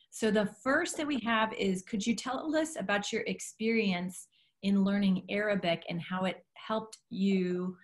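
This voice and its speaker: Woman moderator reading questions. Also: mid-range voice. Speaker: event moderator.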